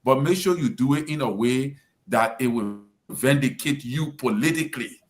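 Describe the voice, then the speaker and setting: A man's voice: mid-range voice, normal speed. A man with a Liberian English accent on a radio panel, giving political commentary in an emphatic, lecturing manner. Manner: lecturing, emphatic, addresses the president directly. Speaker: radio talk show panelist.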